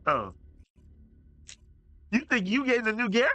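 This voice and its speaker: A man's voice: very high-pitched, sing-song, measured pace, pushed and bright, slight background noise. A man giving casual gameplay commentary, teasing a friend with slang and trash talk. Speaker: gaming video creator. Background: game music.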